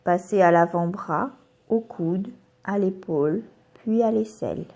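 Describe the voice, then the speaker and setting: A woman guiding a relaxation meditation as a yoga nidra instructor, giving calm, soothing, measured instructions with pauses between them. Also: on the low side.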